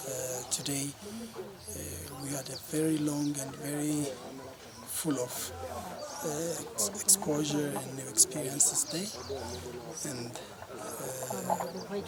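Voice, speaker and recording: A man from Ethiopia with an Ethiopian accent giving a halting testimonial as a cooperative representative, often searching for words. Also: fairly high pitch. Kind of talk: impromptu testimonial.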